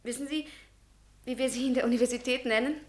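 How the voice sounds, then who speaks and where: Actress as ardent young student, high voice. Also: female voice, fairly even intonation, fast, close to an everyday voice. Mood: teasing. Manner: passionate, challenging. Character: ardent, headstrong young female student, teasing and idealistic. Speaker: actress in a radio play. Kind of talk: German radio drama acting.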